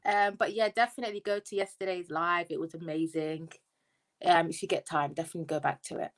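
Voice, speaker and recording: A woman speaking as a guest on a live online panel, her voice on the low side. She explains her point earnestly, with frequent fillers along the way. She is heard over a video-call mic. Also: steady tone, fast. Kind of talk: casual panel chat.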